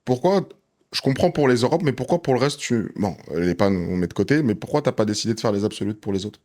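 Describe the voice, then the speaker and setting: A man's voice: on the low side, animated, fast, darkish tone. A man hosting a casual interview, listening attentively and chiming in with short affirmations. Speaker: podcast host.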